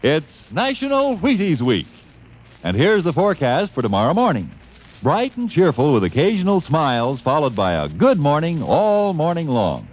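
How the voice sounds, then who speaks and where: Vintage radio ad man: slightly high voice, hugely varied intonation, folksy hard sell. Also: wildly swooping pitch, moderate pace, rough recording. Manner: folksy, exuberant salesmanship. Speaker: commercial announcer. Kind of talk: 1950s radio advertising pitch.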